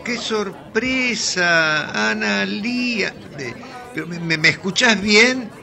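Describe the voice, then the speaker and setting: An actor playing an excitable theatre company leader in a radio drama, with an Argentine accent and a halting, stammering, emotional delivery. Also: male voice, high-pitched, gravelly, over music, a crowd in the background, full character voice. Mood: flustered, delighted.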